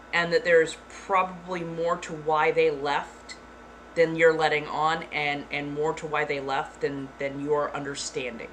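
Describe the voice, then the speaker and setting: A woman streamer chatting casually with her viewers, blunt and frank, keeping her voice deliberately flat and dropping the odd swear. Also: deep voice, poor audio. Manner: blunt, deliberately monotone delivery. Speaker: streamer answering chat. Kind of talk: casual live-stream chat. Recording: clear background noise.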